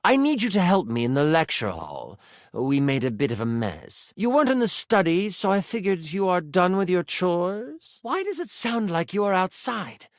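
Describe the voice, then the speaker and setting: A man voicing a stern, exasperated female high enchanter mentor in a fantasy game, curt, bossy and scolding. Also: wildly swooping pitch, normal speed, no room sound, studio quality, exaggerated voice. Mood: impatient.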